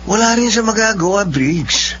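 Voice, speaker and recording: An actor playing a young Filipino boyfriend, a sly and boastful sweet-talker, in a radio drama. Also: male voice, sits high, lively intonation, normal speed, a bit bright, faint music behind. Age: young adult. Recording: dull lo-fi audio, clear hum.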